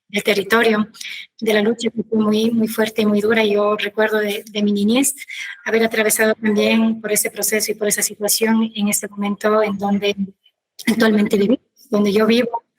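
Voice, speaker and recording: Even-toned Kichwa-speaking woman. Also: medium pitch, poor audio. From Ecuador. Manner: measured, earnest storytelling. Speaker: guest. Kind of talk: personal testimony in a panel.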